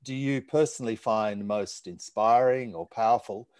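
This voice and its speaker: A man interviewing a guest, asking slow, thoughtful questions. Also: lowish voice, a little mellow, clean tone, no echo.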